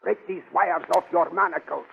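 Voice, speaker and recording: An actor playing an insistent, conspiratorial older scientist with a very gravelly voice in a 1930s radio serial. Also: male voice, sits high, normal speed, full character voice. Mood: urgent, conspiratorial. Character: urgent scheming scientist doctor, the hero's older ally. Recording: tinny band-limited 1930s radio recording.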